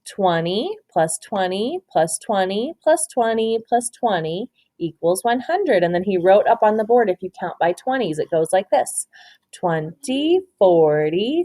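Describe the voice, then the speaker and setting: A woman reading a children's story aloud expressively, pausing to ask simple questions and encourage answers. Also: medium pitch, big pitch swings, dark tone.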